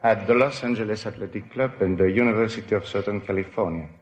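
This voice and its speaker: A man from Belgium with a Belgian accent, a fencing instructor earnestly and haltingly answering questions as a contestant. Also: fairly low pitch. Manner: earnest, halting, non-native phrasing.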